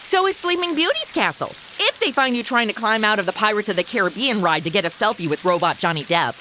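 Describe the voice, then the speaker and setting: A woman's voice: mid-range voice, animated, fast talker, sharp tone. A woman playing a brash, sarcastic, whiny modern American sidekick in a comedy audio drama, full of slang. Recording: noisy, rain-like hiss behind.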